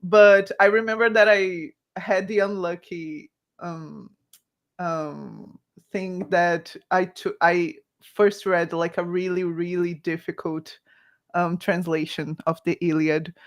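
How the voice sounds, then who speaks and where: A woman who grew up speaking Portuguese talks in a loose, animated way. She stops and restarts her sentences often. She leans on lots of "like" and "you know". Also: fairly low pitch, slow pace. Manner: animated, many fillers and restarts. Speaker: guest author. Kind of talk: casual livestream chat.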